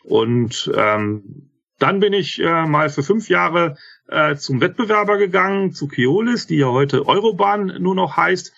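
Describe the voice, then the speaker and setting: A man in his fifties, guest on an interview podcast, talking haltingly with many filler sounds and self-corrections. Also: a little high, expressive, gravelly, fairly bright tone, lo-fi sound. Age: 50s. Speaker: interview guest, rail manager. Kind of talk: casual spoken interview.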